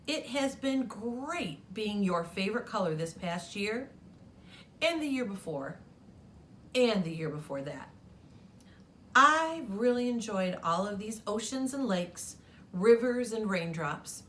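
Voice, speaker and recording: A woman's voice: medium pitch, expressive, slow, a bit bright, slight background noise. A woman voicing a short, worn-out blue crayon from a storybook, politely and wearily complaining. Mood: weary, appreciative.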